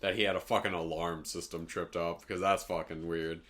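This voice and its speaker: A man's voice: low voice, flat delivery, normal speed, fairly bright tone. A young man, chatting casually and swearing freely as a podcast co-host. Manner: informal, sweary. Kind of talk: casual chat.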